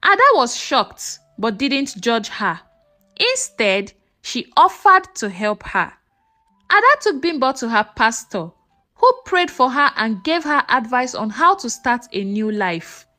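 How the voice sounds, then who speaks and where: A woman telling a scripted folktale as a storyteller, in a measured, expressive and dramatic way. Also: a little high, soft background music. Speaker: storyteller narrator.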